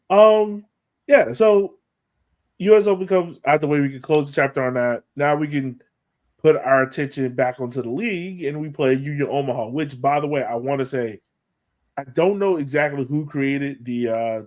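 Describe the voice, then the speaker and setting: An American man talking as a podcast host in casual soccer chat, like an animated fan full of exclamations. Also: medium pitch.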